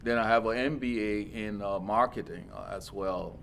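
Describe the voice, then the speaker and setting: Middle-aged man from Liberia, low and earnest, reflective storyteller; slight background rumble. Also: moderate pace, creaky, fairly bright tone. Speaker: interview guest. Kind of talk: casual interview.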